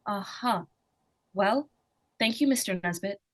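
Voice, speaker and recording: A woman's voice: medium pitch, measured pace, a bit bright. A woman acting an inquisitive, innocent-seeming young girl in 1940s radio suspense style, with a hesitant, artless, questioning manner. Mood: wary, innocent.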